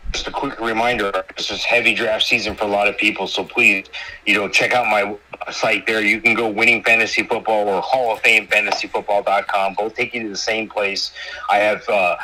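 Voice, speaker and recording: A man appearing as a fantasy football analyst guest, making a chatty pitch for his website. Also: fairly even intonation, normal speed, sharp tone, rough recording. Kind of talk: casual self-promotion.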